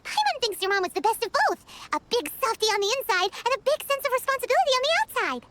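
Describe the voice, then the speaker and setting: A woman voicing a small, childlike fairy companion in a video game, squeaky, perky and eager. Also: very animated, quick pace, background noise. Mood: curious, supportive. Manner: perky, refers to self in third person. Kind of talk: video game character acting. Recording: music behind the voice.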